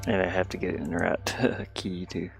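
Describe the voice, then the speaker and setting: A man's voice: low-pitched, little pitch movement, moderate pace, gravelly, loud music behind the voice. A middle-aged man chatting casually on a livestream while he works, hesitant and muttering to himself. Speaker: livestreamer and hobby musician.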